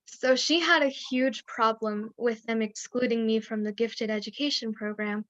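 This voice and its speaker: A woman with a voice a bit higher than most tells a personal story frankly, now and then breaking into small rueful laughs. She comes through a computer mic on a video call, and the sound is dry and dull. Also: steady tone, moderate pace, a bit bright, dry recording. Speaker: webinar panelist.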